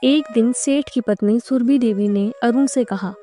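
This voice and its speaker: Mellow, high-voiced woman narrator. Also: normal speed. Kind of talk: scripted story narration. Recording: clear background music under narration.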